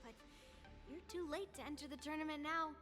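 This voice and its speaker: A woman's voice: sits high, a little fast, bright, forceful tone, faint music behind. A woman playing a bold, bossy young girl warrior in a video game, brash and commanding. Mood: brisk.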